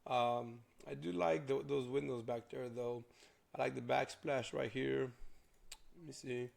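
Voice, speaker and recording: A hoarse young man, a realtor chatting loosely through a casual vlog walkthrough with lots of fillers. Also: medium pitch, fairly even intonation, unhurried, darkish tone. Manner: rambling, filler-heavy. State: hoarse from a cold.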